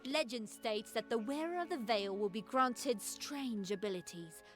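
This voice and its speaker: A woman plays a scornful outlaw swindler, a villain in a fantasy video game. Her voice sits fairly high, smug and mocking with cool menace. Music runs underneath. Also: animated, moderate pace, close to an everyday voice. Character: sly, scornful female con artist and outlaw.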